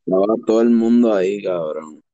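A man's voice: on the low side, a little fast, warm tone, no echo, muffled recording. A twenty-one-year-old man with a Puerto Rican accent hosting a podcast, asking questions in easygoing slang and laughing often. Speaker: podcast host. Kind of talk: casual interview.

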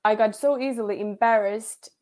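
A woman's voice: fairly high pitch, fairly even intonation, normal speed, darkish tone. A woman in her thirties with a Finnish accent, a podcast guest who speaks openly, laughs often and leans on "like". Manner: laughs often, candid, says "like" a lot. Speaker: podcast guest, yoga teacher. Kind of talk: casual interview chat.

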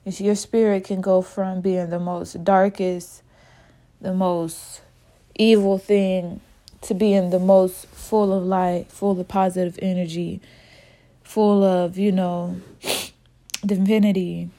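Casting: woman podcast host, slow unhurried delivery, slightly sniffly from a cold, candid and informal. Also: on the low side, little pitch movement, mellow. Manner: candid, informal, talks straight to listeners as y'all. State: a little sick, sniffly. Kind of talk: spiritual podcast monologue.

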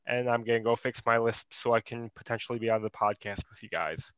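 A man's voice: medium pitch, quick pace. A man with a lisp, the podcast's producer, talking earnestly in a casual chat. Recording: dry, dull lo-fi sound.

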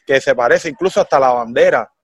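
A young Cuban man with a Cuban accent chatting as a guest in an interview, animated and full of fillers like "you know.". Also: medium pitch, fairly quick, dry recording, studio quality. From Cuba. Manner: animated, heavy fillers like "tú sabes" and "¿me entiendes?". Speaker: guest singer. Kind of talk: casual interview chat.

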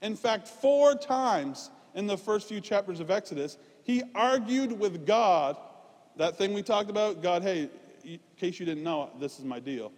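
A man preaching a conversational, informal sermon, emphatic at points and dropping into quieter, self-deprecating asides. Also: high-pitched, expressive, unhurried. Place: large reverberant room, preaching live. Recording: reverberant hall sound.

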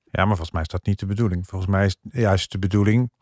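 A man's voice: low voice, fairly even intonation, fast talker, darkish tone, no room sound, clean studio sound. An older man in a casual panel discussion, giving firm opinions and repeating phrases for emphasis. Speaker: podcast panel critic.

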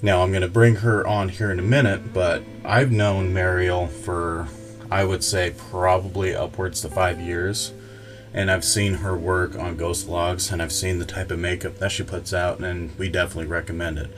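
A man hosting a podcast, introducing his guest and asking questions in a measured, emphatic way. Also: low voice, level tone, music in the background. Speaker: podcast host. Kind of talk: casual podcast interview.

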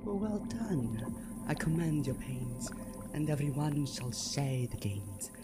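A commanding, sinister witch acted out by a man chants in a theatrical Shakespeare reading. The lines come slowly and deliberately, like an incantation, over clearly audible ominous music. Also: on the high side, expressive.

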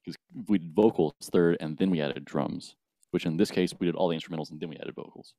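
A man in his twenties, a band's bassist, explaining the recording process methodically in a casual interview. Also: fairly even intonation, quick pace, dark tone, no room sound. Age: 20s. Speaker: band bassist.

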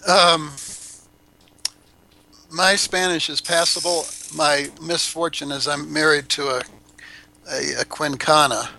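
A man's voice: on the high side, steady tone, slow pace, gravelly, long pauses, pushed and bright, slight background noise, poor audio. An older man, a podcast guest, chatting casually and bluntly, rambling with restarts and the odd swear. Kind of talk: casual interview chat. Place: Ecuador.

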